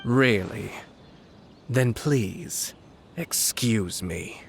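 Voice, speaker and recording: A man playing a composed, courteous and quietly commanding young nobleman in a video game, speaking in a measured, unhurried way. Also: on the low side, lively intonation, creaky, slight background noise, exaggerated voice. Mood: calm, firm. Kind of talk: scripted video game acting.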